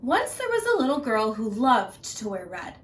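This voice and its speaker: High-voiced, expressive woman reading a story. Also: normal speed, far from the mic, a little hiss or hum. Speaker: primary school teacher reading aloud. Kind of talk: animated children's story narration.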